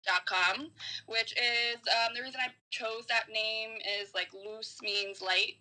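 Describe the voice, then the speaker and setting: A woman from New York City talking casually as a podcast guest, frank and informal, explaining things in a halting way. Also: on the high side, fairly even intonation, sharp tone, low-quality recording. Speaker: podcast guest, comedian and tarot reader. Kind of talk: casual conversation.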